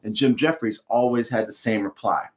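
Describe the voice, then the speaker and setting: Expressive American man reading aloud. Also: mid-range voice. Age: middle-aged. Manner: emphatic, dramatic pauses and repetition. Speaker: author reading his book aloud. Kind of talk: expressive storybook read-aloud with explanatory asides. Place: home room with bookshelves, indoors.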